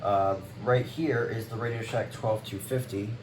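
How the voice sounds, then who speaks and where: A man with a slightly low voice talks casually and off the cuff, like a hobby vlogger showing things around his home. His wording is slangy and easily exasperated. He sounds some distance from the mic, with faint noise behind him. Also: steady tone, measured pace. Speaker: vlogger showing a radio collection. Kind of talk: casual unscripted show-and-tell. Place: indoors, room at home.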